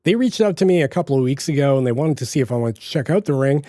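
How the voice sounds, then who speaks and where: A man's voice: mid-range voice, animated, racing, warm tone, no room sound, studio quality. A young man with a slight Boston accent, hosting a solo podcast in a chatty, rambling way with frequent self-corrections. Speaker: podcast host. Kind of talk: casual solo podcast monologue.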